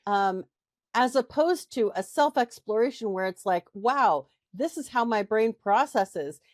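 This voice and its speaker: A woman's voice: a little high, expressive, measured pace, darkish tone, no room sound, studio quality. A woman co-hosting a podcast, explaining her points at length and often checking in with "right?" and "okay?". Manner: explanatory, frequent "right?" tag questions. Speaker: podcast co-host. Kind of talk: casual podcast discussion.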